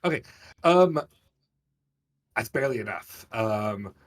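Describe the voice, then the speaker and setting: A man's voice: on the low side, expressive, slow. A man running a tabletop game as game master, narrating scenes with a descriptive, storytelling delivery.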